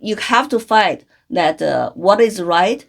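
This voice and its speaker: A woman's voice: mid-range voice, animated, slow pace, vocal fry. Earnest woman from China, many fillers. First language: Chinese. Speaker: guest, congressional candidate. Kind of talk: interview conversation.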